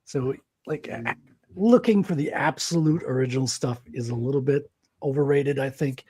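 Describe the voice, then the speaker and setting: A man with a French accent hosting a podcast, chatting in a relaxed, joking way. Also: mid-range voice, moderate pace, husky, no echo. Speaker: podcast host. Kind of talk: casual chat.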